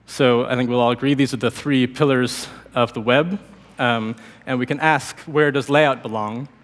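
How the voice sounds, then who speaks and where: A man in his thirties, slightly hoarse from a cold, gives a technical conference talk at a podium. His middling pitch sits easily, and he talks in a relaxed way with plenty of fillers and restarts. The sound carries the long reverb of a big hall. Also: normal speed. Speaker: conference speaker. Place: large conference hall stage.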